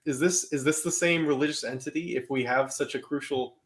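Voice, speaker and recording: A man's voice: medium pitch, fairly even intonation, fairly quick. Man pressing pointed questions. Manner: challenging, probing questions. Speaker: podcast guest. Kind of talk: casual discussion.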